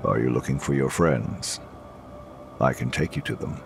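Gravelly, abyssal-deep sinister forest being. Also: male voice, normal speed, faint music behind. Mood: quietly menacing. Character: sinister shadowless man, a predatory forest being. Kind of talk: horror audio drama acting.

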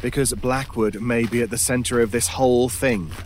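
A man's voice: medium pitch, steady tone, moderate pace, a little mellow, slight background noise, lightly acted. A man playing an imperious, obsessive stranger in an old time radio drama, brusque and commanding, sometimes muttering to himself. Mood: urgent, demanding.

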